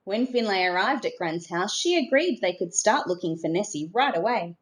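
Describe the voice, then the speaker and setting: A woman narrating a children's storybook expressively, in a measured way with dramatic emphasis. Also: mid-range voice, lively intonation. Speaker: storybook reader.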